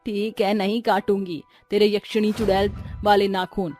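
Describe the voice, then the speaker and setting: A woman's voice: mid-range voice, little pitch movement, moderate pace, darkish tone, lightly acted. Cartoon role: elderly mother-in-law played by a woman, exasperated, nagging, sarcastic, with music underneath. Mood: scolding. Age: older. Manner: scolding, weary, sarcastic. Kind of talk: Hindi cartoon story character acting.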